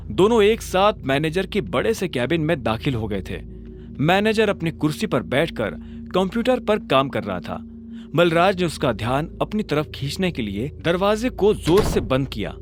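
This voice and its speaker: Man, audio drama narrator, dramatic scripted storytelling, lively intonation, faint music behind. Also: a little high.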